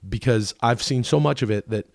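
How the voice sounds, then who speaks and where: Man, gravelly, voice low and worn today, rambling chatty guest, dry close mic. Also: normal speed, dry recording. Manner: rambling, full of fillers and restarts. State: voice a bit low and rough today. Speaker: podcast guest, actor. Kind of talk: casual conversation.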